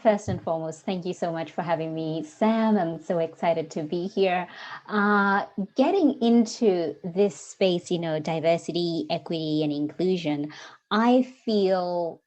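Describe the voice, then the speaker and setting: A woman's voice: lowish voice, unhurried, darkish tone. A woman in her forties from Kenya, with a Kenyan accent, talking as a guest in a casual interview. She speaks reflectively and searches for her words, with frequent ums. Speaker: guest, diversity coach. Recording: dull lo-fi audio.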